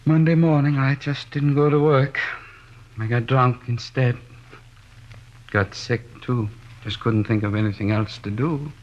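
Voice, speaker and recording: An actor in a 1950s radio drama playing a henpecked, weary middle-aged American plasterer who rambles plaintively and hesitantly. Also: male voice, mid-range voice, steady tone, unhurried, pauses often, lightly acted. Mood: downcast, weary. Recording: dull, band-limited old radio recording.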